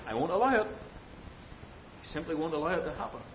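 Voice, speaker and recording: A man delivers an expository sermon in an emphatic, deliberate way, leaning on repetition and rhetorical questions. The recording is dull and lo-fi, with a faint rumbling noise underneath. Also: very animated. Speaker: preacher.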